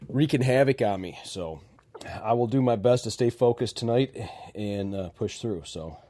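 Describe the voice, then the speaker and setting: A man's voice: mid-range voice, normal speed, dark tone. A man hosting a hunting podcast from a cabin, chatting casually while fighting a head cold. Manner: laid-back, reads sponsors and viewer comments. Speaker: podcast host. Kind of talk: casual chat.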